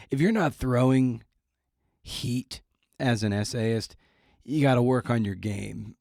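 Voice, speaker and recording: A man's voice: medium pitch, darkish tone, dry recording, studio quality. A man hosting a podcast solo, talking casually with wry, playful, slangy, self-deprecating asides. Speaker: podcast host. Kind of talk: casual podcast monologue with announcements.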